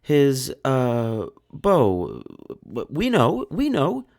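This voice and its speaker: A man acts out a pair of scheming, haughty sisters from a storybook. They are cruel and demanding young women, with a raised pitch that swings around a lot. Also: huge pitch swings, drawn-out words, gravelly, darkish tone, heavily acted. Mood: flustered. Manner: haughty, demanding, stammering when cornered.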